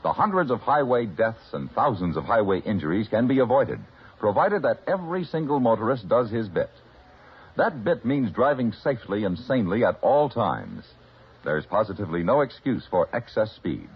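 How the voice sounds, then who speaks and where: Vintage public service announcer: American man, low-leaning voice, heavy rasp, emphatic and formal, old-time radio delivery. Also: big pitch swings, moderate pace. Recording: dull old radio recording.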